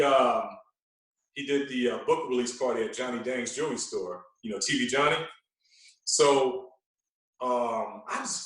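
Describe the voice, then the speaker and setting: A man with a medium-pitched voice chats casually as a guest on a podcast. He tells stories in an animated way, doubling back on his words. The sound is very echoey and a bit distant from the microphone. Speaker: podcast guest, veteran radio host. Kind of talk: casual chat, storytelling. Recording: echoey room, distant from mic.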